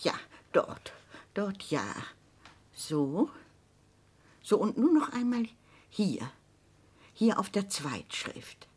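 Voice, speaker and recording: A woman's voice: mid-range voice, big pitch swings, drawn-out words, pauses often, breathy edge, exaggerated voice. An older woman playing a theatrical, imperious consul's widow who schemes with mock gentility in a radio drama. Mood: solemnly businesslike. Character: theatrical old consul's wife, grandly scheming proprietress. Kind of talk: German radio drama acting.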